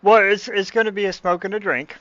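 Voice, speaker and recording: A man's voice: high voice, steady tone, fairly quick, a bit bright, dull audio. A man in his fifties hosting a casual online show, teasing his co-host and repeating his words as he talks. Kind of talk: casual chat.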